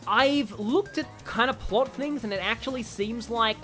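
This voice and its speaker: A game streamer talks casually on a livestream, rambling and reacting out loud to what happens in play. The voice sits at a middling pitch, with game music playing faintly behind it. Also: a little slow.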